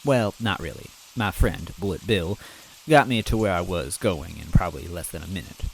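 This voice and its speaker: A man with a fairly low voice reads a story in the first person, soft and wistful with gentle comic timing. Faint rain patters in the background. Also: normal speed, vocal fry, a little hiss or hum, poor audio. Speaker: storyteller reading a fan story.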